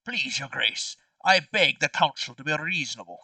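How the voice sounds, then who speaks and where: A man's voice: fairly high pitch, expressive, moderate pace, bright, forceful tone, breathy edge, dry recording, far from the mic, full character voice. A man playing an aggrieved, pompous nobleman in an amateur game, pleading with theatrical formality. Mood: indignant pleading. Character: aggrieved pompous nobleman petitioning the king, grave and dramatic. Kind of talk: amateur role-play in a party game.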